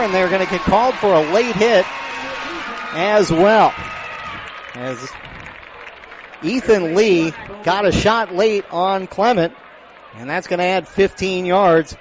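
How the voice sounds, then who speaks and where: High-pitched American man calling football play-by-play. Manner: projected, excited on big plays. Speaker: play-by-play announcer. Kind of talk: live American football radio commentary. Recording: live outdoor stadium broadcast, background noise.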